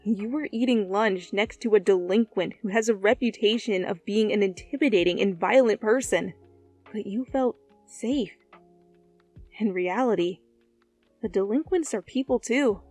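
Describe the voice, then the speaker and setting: A woman's voice: medium pitch, normal speed, a little mellow, faint music behind. A woman reading fan fiction and chatting as the channel's host, with a goofy, joking manner. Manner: goofy, joking, breaks into silly asides. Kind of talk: story narration and playful blooper chatter.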